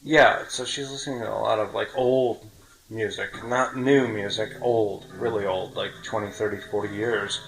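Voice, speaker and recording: A man's voice: on the low side, steady tone, moderate pace, low-quality recording. A man hosting a podcast, talking casually and explaining his opinions at length. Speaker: podcast host.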